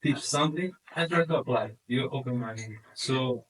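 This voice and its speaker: A man's voice: medium pitch, normal speed, a bit bright, recorded from a distance, rough recording. A man introducing himself at a workshop in a friendly, slightly rambling way. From Morocco, raised in Italy. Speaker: workshop participant.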